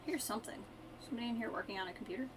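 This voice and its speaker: Female game streamer, higher voice, casual muttered commentary, clear game noise behind. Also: racing. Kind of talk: casual live gameplay commentary.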